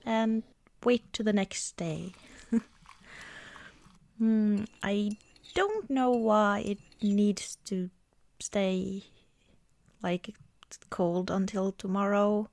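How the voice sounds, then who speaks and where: Slow, soft-spoken woman in intimate ASMR roleplay. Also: mid-range voice. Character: affectionate, cozy girlfriend baking at Christmas. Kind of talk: ASMR girlfriend roleplay, intimate casual chat.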